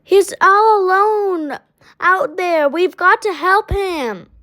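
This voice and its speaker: A caring young male fish from a storybook, performed by a woman reader with a high pitch. He sounds brave and earnest, pressing his friends with urgency. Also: takes it slowly, pushed and bright, full character voice. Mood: worried urgency.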